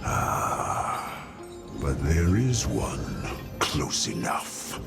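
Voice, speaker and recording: A man playing a haughty, brooding, menacing inhuman ruler in a video game, speaking with slow theatrical disdain and breathy, gravelly edges. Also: rumbling low, wildly swooping pitch, heavily acted. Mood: brooding, commanding. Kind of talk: video game cutscene acting. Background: loud music.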